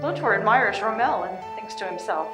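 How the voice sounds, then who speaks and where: A woman's voice: mid-range voice, animated, fairly quick. A woman reading scripted narration for a radio play. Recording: echoey live room, faint music.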